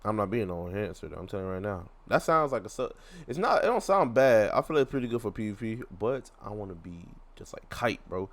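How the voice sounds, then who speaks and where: Slangy man reacting, lowish voice. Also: expressive, fast, hardly any pauses. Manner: slangy, rambling, repeats himself. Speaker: gaming YouTuber reacting to a video. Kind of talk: casual live reaction commentary.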